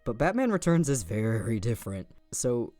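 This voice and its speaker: A man's voice: medium pitch, sing-song, normal speed, mellow, no echo, soft background music. A man narrating a scripted video essay in an informal, opinionated way, with casual asides and the odd swear word.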